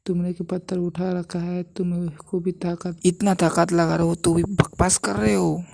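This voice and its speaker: A woman's voice: fairly low pitch, monotone, measured pace, warm tone. A woman voicing a stern, boastful old male martial arts master who scolds and commands in a story recap. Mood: scolding.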